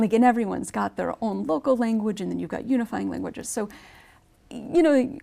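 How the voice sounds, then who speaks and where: A woman's voice: mid-range voice, vocal fry, mellow. A woman speaking on a radio-style panel discussion, chatty and enthusiastic, often restarting her sentences.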